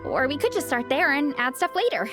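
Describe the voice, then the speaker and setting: High-pitched energetic young anime heroine. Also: female voice, a little fast. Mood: cheerful, hungry. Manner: earnest, enthusiastic. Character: energetic big-eating young woman, comic-book superfan. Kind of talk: anime video game dialogue. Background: game music.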